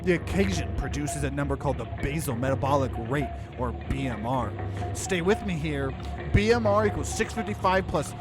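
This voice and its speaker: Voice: mid-range voice, animated, brisk, over music, lightly acted. Game streamer voicing a blunt, sardonic talking potato. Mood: mock-cheerful lecturing. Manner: lecturing, sardonic. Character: blunt, scolding talking potato, mock-helpful survival lecturer. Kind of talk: acted visual novel reading.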